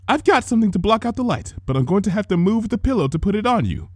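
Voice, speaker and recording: A high-pitched unicorn lady from a pony story, acted out by a man. She is a doting, dramatic older sister with a prim manner and a temper, and her pitch swings widely. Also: very animated, fast talker, breathy edge, no room sound, studio quality, heavily acted. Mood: soothing, fussing.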